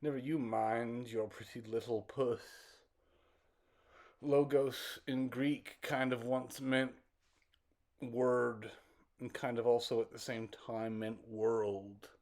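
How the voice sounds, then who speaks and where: A man performs a spoken-word poem at a slow pace. He breaks the lines into short, deliberate fragments, leaving halting gaps and repeating words. His pitch sits in the middle range for a man. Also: little pitch movement, a little mellow. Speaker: poet reciting own work. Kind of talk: dramatic spoken-word poetry recital.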